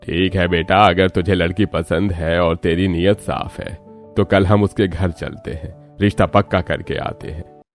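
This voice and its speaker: A man's voice: sits low, fairly even intonation, unhurried, faint music behind. A man voicing a genial, plain-spoken older father in a Hindi cartoon story.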